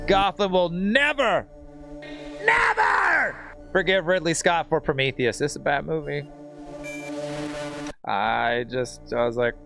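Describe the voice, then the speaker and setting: A man's voice: on the high side, creaky. A man streaming a video game, giving casual, slangy, rambling and joking commentary to his chat. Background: video game music.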